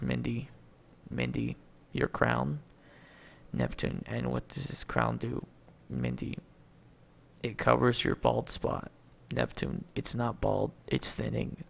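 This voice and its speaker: Man, amateur script reading, very creaky fry, lively pitch swings, stumbles on words, reads out stage directions. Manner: reads names and punctuation aloud, stumbling over words. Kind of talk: reading a movie script aloud, stage directions and all lines.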